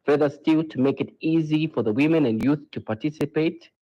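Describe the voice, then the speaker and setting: A man's voice: fairly high pitch, fairly quick, dark tone. A man gives a prepared official statement as a spokesman, in a dull, lo-fi recording. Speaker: corporate communications spokesman. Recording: dull, lo-fi sound clip.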